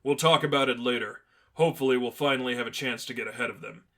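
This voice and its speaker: A man's voice: medium pitch, quick pace, a bit bright, close to an everyday voice. A man voicing a stern, unamused masked vigilante who speaks seriously and tersely in a story reading. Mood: businesslike.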